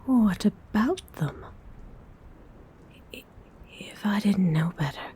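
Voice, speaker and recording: A woman voicing a predatory, hungry female vampire in a breathy whisper, a seductive and politely menacing ASMR roleplay monologue. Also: medium pitch, animated, mellow, slight background noise. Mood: puzzled, intrigued. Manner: slow, drawn-out, teasing menace. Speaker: ASMR voice performer.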